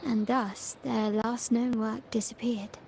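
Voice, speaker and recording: An actress voicing a composed, knowing young woman in a game cutscene, explaining things in a calm, measured way. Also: a little high, gravelly, slight background noise. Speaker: game character. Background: music.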